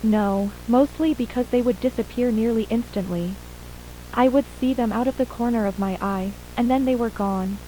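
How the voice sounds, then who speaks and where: A woman's voice: a little high, moderate pace, mellow, natural voice, poor audio. A woman playing a shaken mother and attack survivor, plainly recounting events in an acted horror audio drama interview. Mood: subdued, uneasy. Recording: faint hum and hiss.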